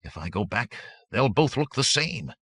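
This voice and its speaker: A man's voice: medium pitch, sing-song, normal speed, fairly bright tone, breathy edge, dry recording, pitched above the performer's own voice, heavily acted. A man voicing a nervous, cowardly bandit tracker in an audiobook, protesting fearfully. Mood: nervous, defensive. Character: nervous, cowardly, pinch-faced male bandit tracker, defensive.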